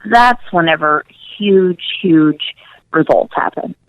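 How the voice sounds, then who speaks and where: A woman's voice: fairly low pitch, slow, no room sound, narrow, tinny audio. A woman speaking as a guest in a casual radio interview, earnest and emphatic, repeating words for emphasis. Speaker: interview guest, nutrition coach.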